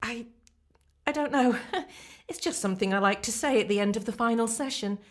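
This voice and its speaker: A woman's voice: fairly high pitch, a little fast, close to an everyday voice. A woman playing an encouraging, slightly daft therapist in a radio play, earnest and reassuring. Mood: awkwardly cheery.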